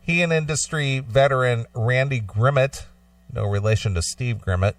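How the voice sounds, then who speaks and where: A man's voice: medium pitch, moderate pace, no echo, distant mic. A man co-hosting a radio talk show, reading out news items and adding casual commentary.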